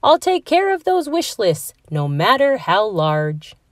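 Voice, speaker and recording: A woman's voice: mid-range voice, big pitch swings, slow, no room sound, studio quality. A woman voicing a jolly, generous old Santa Claus in a children's storybook, kindly and reassuring as he takes charge. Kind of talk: children's storybook read-aloud.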